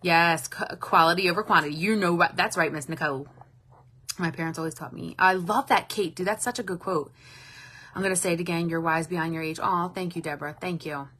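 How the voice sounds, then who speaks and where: A woman's voice: lowish voice, a little fast, pushed and bright. A woman in her late thirties hosting a casual livestream, talking candidly and reading out viewer comments, with a chatty manner and the odd swear word. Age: 30s. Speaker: livestream host.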